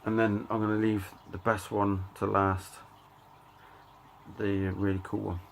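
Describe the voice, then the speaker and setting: A middle-aged man giving a casual show-and-tell of his collection, talking in a halting, offhand way and reading out titles in short bursts. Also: lowish voice, level tone, vocal fry, a little hiss or hum. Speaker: record collector and channel host.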